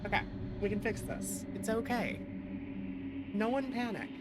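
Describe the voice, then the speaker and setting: A woman's voice: on the high side, unhurried, very noisy. A woman hosting a livestream in a casual, chatty and playful way. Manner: playful, chatty, thanks and greets viewers. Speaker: livestream host, VTuber.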